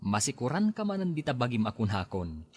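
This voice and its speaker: A man's voice: mid-range voice, very animated, fairly quick, a little mellow. A man with an Ilocano Filipino accent playing an easygoing husband and neighbour in a conversational audio drama.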